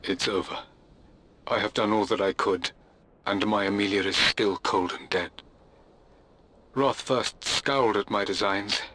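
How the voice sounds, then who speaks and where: An actor playing a grieving, obsessed widower of middle age, delivering a solemn journal monologue for a game. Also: male voice, fairly low pitch, bright, forceful tone. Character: grieving, obsessive English lord mourning his dead wife.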